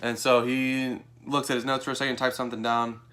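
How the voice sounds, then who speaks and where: A young American man telling a personal story to camera in a casual, animated way, acting out what people said. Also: a little fast. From Texas, United States. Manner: animated, acts out quoted dialogue in a higher voice. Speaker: vlogger.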